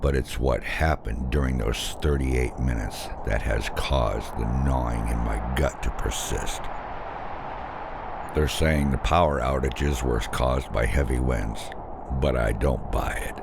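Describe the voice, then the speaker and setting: A man narrating a scripted first-person horror story in an ominous, deliberate way. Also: extremely low pitch, creaky, background noise.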